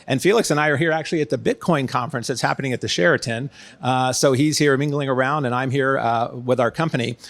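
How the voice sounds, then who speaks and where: A man's voice: mid-range voice, fast talker, a bit bright. A middle-aged man with an American accent, speaking as an interview guest in a conversational, explanatory way. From United States, San Diego, California. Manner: explanatory, uses everyday analogies. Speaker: guest, real estate consultant and former executive.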